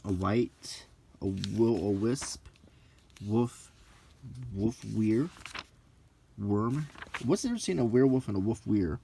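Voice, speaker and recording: Slow, low-voiced man, casually browsing aloud. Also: gravelly, dark tone, slight background noise. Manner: halting, list-like delivery with brief musing asides. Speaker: video host. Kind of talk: unhurried casual show-and-tell, reading out names and commenting, unintentional ASMR.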